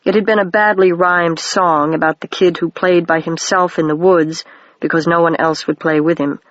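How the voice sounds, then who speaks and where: An even-paced audiobook narrator reading a children's novel. The voice sits at a middle pitch. The recording is dry and dull, like a home setup. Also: normal speed, no echo. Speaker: audiobook reader.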